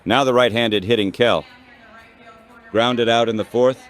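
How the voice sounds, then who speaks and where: A man's voice: mid-range voice, steady tone, a little slow. A man's synthetic text-to-speech voice calling baseball play-by-play in short, clipped phrases. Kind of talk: baseball radio play-by-play commentary.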